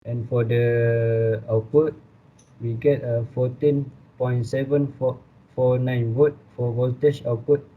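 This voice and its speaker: A young man with a Malaysian accent giving a scripted student presentation, reading aloud haltingly. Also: mid-range voice, fairly even intonation. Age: young adult. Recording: tinny phone-like audio, faint noise.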